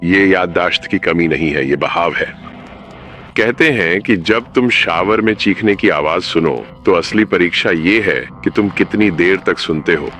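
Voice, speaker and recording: Deep-voiced man narrating ominous horror. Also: fairly bright tone, slight background noise. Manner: ominous, addresses the listener directly.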